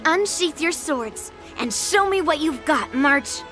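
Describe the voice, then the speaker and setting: Bright, cocky young swordswoman. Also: high voice, animated, slow pace, faint music behind, exaggerated voice. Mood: confident, approving. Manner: teasing, blunt, cocky. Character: brash, confident young female sword master, teasing. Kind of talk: anime-style game voice acting.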